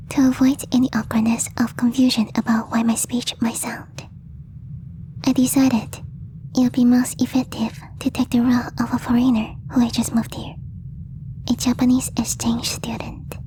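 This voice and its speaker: Gentle alien girl female performer, ASMR roleplay: breathy whisper, even melody, soft mellow tone, shyly formal and slightly stilted delivery. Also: fairly high pitch, moderate pace, lightly acted, low-quality recording. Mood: gentle, earnest explaining. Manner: hesitant, apologetic, formal slightly stilted phrasing. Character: gentle, devoted young female alien posing as exchange student.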